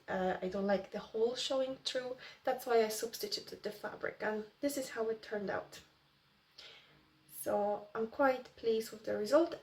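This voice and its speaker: A woman's voice: on the high side, distant mic. A woman in her early thirties from Poland, chatting casually to viewers as host of a craft vlog.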